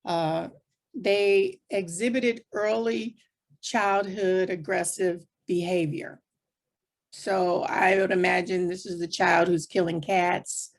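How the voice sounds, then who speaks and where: A woman speaking as a panelist, explaining things point by point in plain, conversational terms. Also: lowish voice. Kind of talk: explanatory panel discussion talk.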